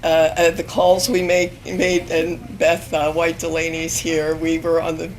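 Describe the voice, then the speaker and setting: A woman in her fifties, hoarse and losing her voice, speaking on an alumni panel at a university event, with many pauses and restarts. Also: low voice, completely flat delivery, darkish tone, slight background noise, rough recording. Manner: hesitant, many ums and restarts. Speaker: panel speaker, magazine editor. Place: university event room with audience.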